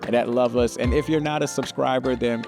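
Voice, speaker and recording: Gravelly-voiced New man, casual. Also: medium pitch, steady tone, a little fast, over music. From Brooklyn, New York. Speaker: podcast co-host. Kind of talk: casual chat.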